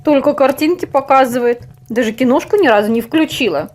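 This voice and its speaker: Woman as a defensive little schoolboy, fairly high voice. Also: brisk, a little mellow, slight background noise, natural voice. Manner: childish, complaining. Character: defensive young schoolboy grumbling about his teacher.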